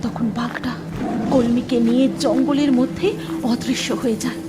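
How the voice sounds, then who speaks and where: A woman's voice: fairly high pitch, steady tone, moderate pace, heavy background noise. A woman narrator reading a scripted dramatic story. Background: music.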